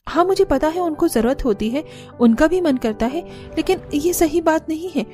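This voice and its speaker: A woman's voice: sits high, lively intonation, normal speed, warm tone, soft background music, voice pushed up. A woman voicing a firm but caring young daughter-in-law who reasons earnestly in a cartoon story. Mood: firm, reflective. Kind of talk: Hindi cartoon story dialogue.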